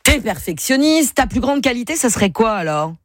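A woman's voice: on the high side, sing-song, brisk. A woman hosting a radio game show, chatting and teasing callers playfully. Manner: playful, teasing, sings along badly. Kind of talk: lively radio game chat.

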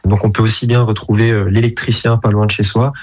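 A man from Belgium speaks as a radio guest, his voice sitting a bit low. He talks in halting runs, full of fillers and restarts. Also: little pitch movement, darkish tone. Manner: hesitant, many fillers and restarts. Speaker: app founder guest. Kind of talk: radio interview answers.

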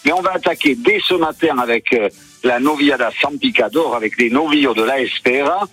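A man giving a live radio report from a bullring, enthusiastic and halting, with many fillers. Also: medium pitch, sing-song, creaky, fairly bright tone, slightly rough, faint music behind. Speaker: field reporter. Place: bullring arena.

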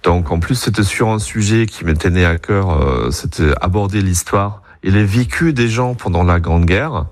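A man's voice: deep voice, normal speed, hardly any pauses. A middle-aged man from Germany, a native German speaker, recalling his work as a park director in French with a German accent. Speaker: regional nature park director. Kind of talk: recorded interview, recollecting.

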